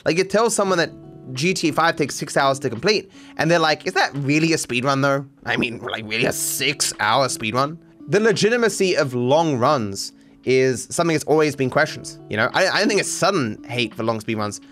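A man in his thirties, a streamer who rambles frankly through chat comments, swearing casually, at a studio mic in a dark room. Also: medium pitch, expressive, a little fast, soft background music. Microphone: studio mic on boom arm, headphones.

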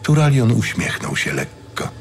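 A man reading scripted dramatic fantasy narration in a measured, solemn storytelling manner. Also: lowish voice, very animated. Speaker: audiobook narrator.

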